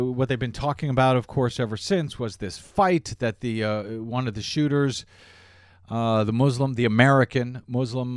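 A man's voice: mid-range voice, no echo, studio quality. A man hosting a political talk radio show, delivering a monologue in a sardonic tone with frequent fillers. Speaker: radio host.

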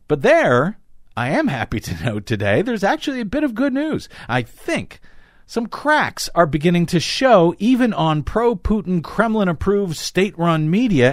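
A man presents news commentary as a talk show host. His voice sits a little high and swings widely in pitch as he emphatically sets up and reads quotations. The sound is dry and close, with very little room. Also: dry recording. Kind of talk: news commentary, reading article excerpts aloud with asides.

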